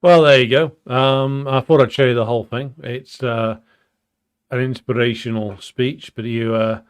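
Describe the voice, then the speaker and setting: Halting man hosting a live chat. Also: mid-range voice, steady tone, clean tone. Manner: halting, with many ums and uhs. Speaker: livestream host. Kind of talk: casual live chat, reading out viewer comments.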